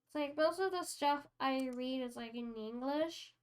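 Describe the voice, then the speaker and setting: A woman's voice: sits high, unhurried, clear, resonant tone. A young French Canadian woman with a French Canadian accent, chatting casually on a livestream, rambling with lots of fillers and restarts. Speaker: streamer.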